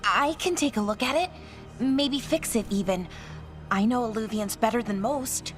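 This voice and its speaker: A woman's voice: fairly high pitch, normal speed, faint music behind, lightly acted. An actress playing an eager, anxious young elven mage in a video game, earnest and spilling out her thoughts.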